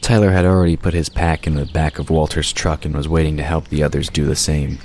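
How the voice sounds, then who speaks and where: A man's voice: a bass voice, flat delivery, fast, darkish tone. A man reading scripted fiction as the narrator of an audio drama. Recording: noticeable noise behind the voice.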